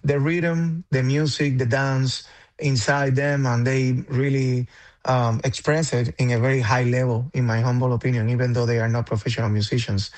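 A man from Cuba talks on a radio show as a guest musician. He speaks haltingly, with lots of fillers and restarts, in an ordinary middle pitch. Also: flat delivery, a little mellow. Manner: hesitant, many fillers and restarts. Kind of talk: radio interview.

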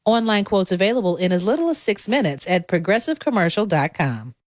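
A woman's voice: mid-range voice, big pitch swings, brisk, no room sound, studio quality. A woman announcer reading sponsor messages in an upbeat promotional style. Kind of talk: sponsor read.

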